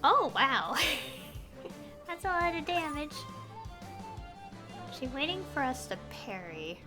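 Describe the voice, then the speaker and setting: A woman's voice: high-pitched, big pitch swings, slow pace. A woman giving casual live commentary as she plays, thinking aloud as a game streamer. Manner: thinking aloud, often chuckling. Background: game music.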